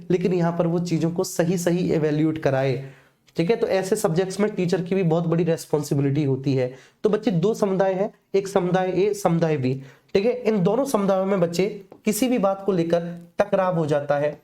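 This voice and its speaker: A teacher with a soft-edged, very mellow voice leads an exam-prep lesson. The delivery is explanatory, with key phrases said again and frequent checks that the students are following. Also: mid-range voice. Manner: repeats phrases, checks understanding, addresses students as children.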